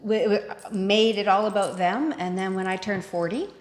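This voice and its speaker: A woman's voice: mid-range voice, fairly even intonation, moderate pace. A woman in her fifties co-hosting a show, chatting casually and conversationally. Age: 50s. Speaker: co-host. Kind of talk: casual chat. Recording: echoey room with long reverb.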